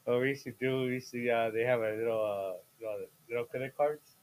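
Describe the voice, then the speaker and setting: A man's voice: medium pitch, fairly even intonation, quick pace. Playful fifty-year-old man, chatty. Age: 50s. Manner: playful, loud bursts, laughs mid-sentence. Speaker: guest, host's father. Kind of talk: casual podcast chat.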